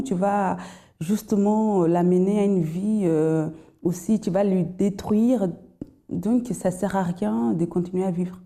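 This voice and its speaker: A young woman with a slight foreign accent and another first language, giving a hesitant personal testimony full of pauses and restarts. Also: mid-range voice, dark tone. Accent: slight foreign accent in French. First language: not French. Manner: hesitant, many fillers and restarts. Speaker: testimony guest.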